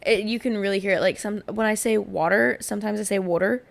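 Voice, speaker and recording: A young woman with a light Southern US accent co-hosts a casual podcast, talking frankly and giggling between stories. Her voice has a gravelly, fry-tinged edge at the ends of phrases. She leans on "like" as she talks. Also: mid-range voice, fairly even intonation. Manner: candid, giggly, drawn-out filler words. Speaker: podcast co-host. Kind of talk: casual chat.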